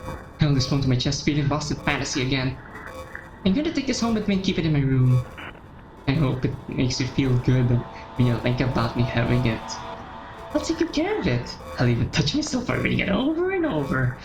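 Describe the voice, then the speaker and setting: Woman acting an unstable lovesick teenage girl, wildly swinging pitch. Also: big pitch swings, a little fast, full character voice. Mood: creepy adoration. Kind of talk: dramatic fan-fiction reading. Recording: roomy echo with faint music behind.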